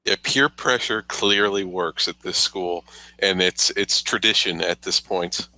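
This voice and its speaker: A man's voice: low voice, a little slow, bright, forceful tone, no echo, poor audio. A man chatting casually on a podcast in a wry, tongue-in-cheek way. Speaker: podcast panelist. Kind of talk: casual chat.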